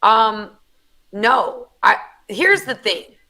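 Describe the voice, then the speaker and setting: A woman hosting a podcast with a raspy voice, talking casually to her listeners. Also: medium pitch, big pitch swings, extremely slow. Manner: casual, addresses listeners warmly. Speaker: podcast host. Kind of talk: podcast chat.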